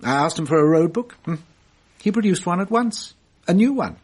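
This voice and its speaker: An actor playing a shrewd, self-assured French detective in a radio drama, musing deliberately with wry amusement. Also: male voice, high-pitched, expressive, a little mellow, breathy edge. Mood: musing, animated.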